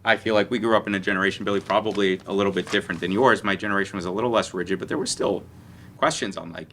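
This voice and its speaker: A man's voice: sits low, steady tone, rapid-fire, fairly bright tone, a little hiss or hum. A man speaking earnestly as a panelist in a conversational discussion, often repeating words as he goes.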